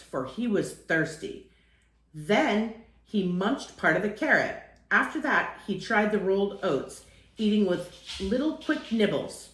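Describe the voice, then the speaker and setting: A woman's voice: fairly low pitch, reverberant. A woman reading a children's picture book aloud as a storyteller, acting out phrases and lingering on key words.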